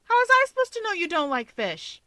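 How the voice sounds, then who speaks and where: A woman's voice: very high-pitched, huge pitch swings, brisk, sharp tone, no room sound, voice pushed up, exaggerated voice. A woman voicing a cocky, teasing young male hero with a breezy, dramatic, argumentative manner in a story reading. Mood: indignant.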